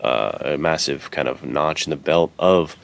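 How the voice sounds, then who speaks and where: An American man with an extremely low voice and plenty of gravel in it chats as a podcast co-host. He is informal and emphatic, speaking in a casual conversational style. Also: moderate pace, a little hiss or hum. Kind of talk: casual chat.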